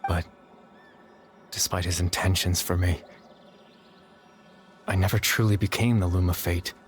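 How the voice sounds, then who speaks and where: An actor playing a melancholy, resigned being in a video game, explaining things in a measured, formal way. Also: male voice, deep voice, fairly even intonation, soft background music. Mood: somber, resigned. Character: melancholy male being resigned to his sacrifice, calmly explanatory. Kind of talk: dramatic video game voice acting.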